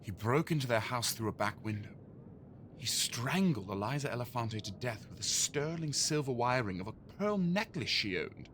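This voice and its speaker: Exasperated Victorian police inspector, swinging pitch. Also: male voice, very animated, moderate pace, a bit bright, a little hiss or hum. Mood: grim, stern. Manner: gruff, formal, exasperated. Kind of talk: audio drama acting.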